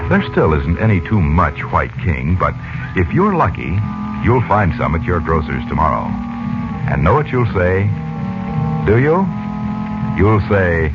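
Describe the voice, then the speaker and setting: A male announcer with a low, very animated voice delivers formal 1940s radio narration. His style is theatrical. The old broadcast sounds dull, with music clearly present. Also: a little slow, long pauses.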